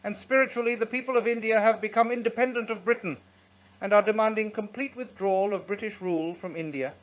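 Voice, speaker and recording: A man's voice: high voice, fairly even intonation, fast talker. A man reads out a scripted wartime political address in a formal, declamatory manner. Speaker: reader of a translated wartime political speech. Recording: dull lo-fi sound.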